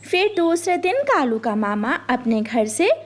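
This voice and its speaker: A woman's voice: high-pitched, very animated, unhurried. A woman reading a scripted children's cartoon story as narrator.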